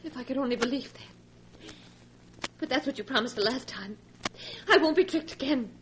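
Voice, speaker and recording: An actress playing a frightened, secretive wife in a 1940s radio melodrama, tremulous and pleading, on a hissy old recording. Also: female voice, sits high, lively intonation, moderate pace, a bit bright, low-quality recording. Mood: defiant, desperate.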